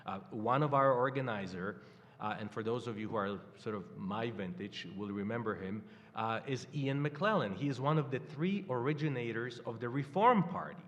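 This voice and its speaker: An older man from Poland with a Polish accent, speaking haltingly as a guest at a live panel. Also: medium pitch, expressive, fairly bright tone, dull audio. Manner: halting, many fillers and restarts. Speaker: campaign guest. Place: stage in a hall before a live audience. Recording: hall reverb.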